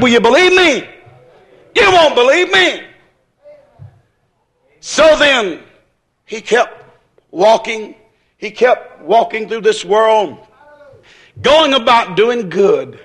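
A man preaching an impassioned sermon in an emphatic, repetitive, folksy way, acting out dialogue as he goes. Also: very high voice. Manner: emphatic, repetitive, building phrases into shouts; folksy, colloquial phrasing.

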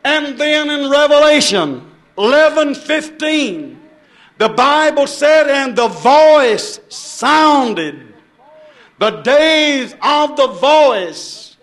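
Very high-pitched male preacher, declamatory. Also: fairly bright tone. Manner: declamatory, repetitive, emphatic phrasing. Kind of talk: impassioned sermon with scripture quotation and rhetorical repetition.

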